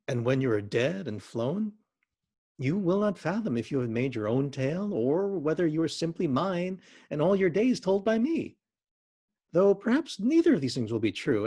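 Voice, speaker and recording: An oracular spirit woman from a literary tale, acted out by a male reader, who teases as she foretells fates. The delivery is incantatory and lifts high in pitch, swinging as it goes. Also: dark tone, slightly rough. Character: female Spirit of Story, mystical teasing teller of fates.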